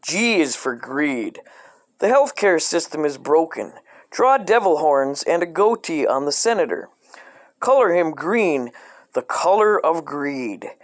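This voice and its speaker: Deadpan man reading aloud, lively pitch swings. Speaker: storyteller reading a parody children's coloring book. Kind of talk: scripted comedic read-aloud.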